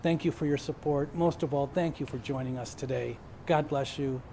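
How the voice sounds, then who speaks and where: A man's voice: mid-range voice, fairly even intonation, moderate pace, gravelly, mellow. A man in his sixties, a parish priest, giving a prepared thank-you message to viewers outdoors. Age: 60s. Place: garden, outdoors. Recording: faint outdoor ambient noise.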